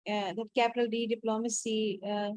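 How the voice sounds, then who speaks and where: A woman with a somewhat high voice and a dry sound joins a casual video-call discussion. She agrees readily and shapes her own thoughts haltingly, restarting phrases as she goes. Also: flat delivery, a little mellow, dry recording.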